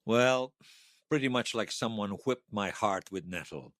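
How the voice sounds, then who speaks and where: A man's voice: lowish voice, animated, unhurried, a bit bright, dry recording, clean studio sound. An actor playing a regretful, bitter man who is hailed as a hero, confessing his shame in an audio drama. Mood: rueful. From Bosnia. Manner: confessional, self-reproaching. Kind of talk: fiction podcast drama acting.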